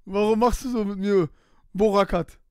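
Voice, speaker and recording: A young man streaming from a small room at home, talking close into a boom mic as he reacts to a video. He talks casually, repeats himself and laughs quickly. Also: steady tone, darkish tone, smooth, dry recording. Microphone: boom mic close in front.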